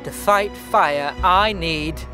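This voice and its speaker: A man's voice: a little high, slow, over loud music. A man voicing a gloating, bumbling bird-bee supervillain in a cartoon, boastful and melodramatic. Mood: scheming. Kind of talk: hammy cartoon villain acting.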